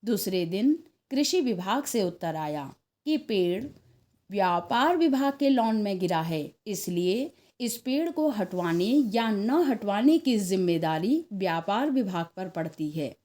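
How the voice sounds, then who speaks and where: A woman narrating a scripted story expressively, in a measured way, as a storyteller. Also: medium pitch, lively intonation, mellow. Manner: measured, acts out dialogue.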